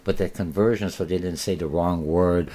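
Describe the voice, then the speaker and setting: Man, Polish accent, very low voice, rambling college lecture, lots of uh and restarts. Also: steady tone, normal speed, mellow. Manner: rambling, many fillers and restarts. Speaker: college marketing instructor. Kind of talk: recorded lecture.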